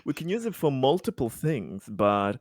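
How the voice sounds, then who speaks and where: A man's voice: medium pitch, big pitch swings, normal speed, no echo. Casual man chatting, very dry close sound. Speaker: tabletop roleplaying game player. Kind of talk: casual post-game chat.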